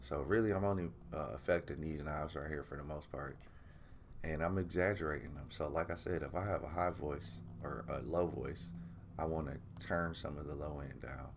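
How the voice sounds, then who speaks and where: Very deep gravelly laid-back man. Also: moderate pace, a little hiss or hum. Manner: laid-back, rambling with many fillers like "you know" and "um". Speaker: music producer teaching vocal mixing. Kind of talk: casual screen-recorded tutorial.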